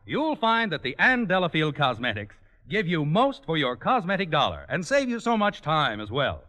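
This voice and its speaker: A man's voice: fairly high pitch, huge pitch swings, moderate pace, sharp tone. A man announcing a commercial and programme in the style of 1940s radio.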